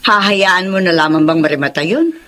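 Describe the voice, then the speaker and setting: A woman's voice: fairly low pitch, lively intonation, fairly quick, fairly bright tone. An actress playing a concerned mother questioning her grown son in a Filipino radio drama, probing and exasperated, with a Filipino accent.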